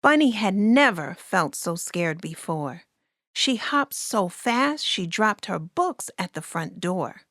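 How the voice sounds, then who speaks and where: A woman reading a rhyming children's story aloud in a deliberate, expressive way as its narrator. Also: mid-range voice, dry recording, clean studio sound.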